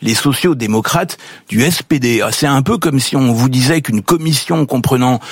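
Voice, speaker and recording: A man's voice: medium pitch, normal speed, breathy edge, no room sound, studio quality. A man giving wry, ironic political commentary on a radio morning show. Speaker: political columnist.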